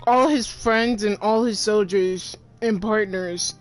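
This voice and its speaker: A woman's voice: mid-range voice, takes it slowly, rough recording. A teenage girl giving casual, slangy and blunt commentary as she plays a video game. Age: teens. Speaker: gamer playing through a story quest. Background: faint game music.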